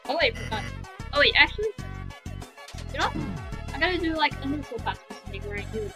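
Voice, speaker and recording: A high-voiced player talks through a game in a casual, offhand way, thinking aloud in short remarks. Game music plays clearly behind the voice. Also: lively intonation, a little fast. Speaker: gaming video creator playing and commenting. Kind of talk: casual let's play commentary. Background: video game music.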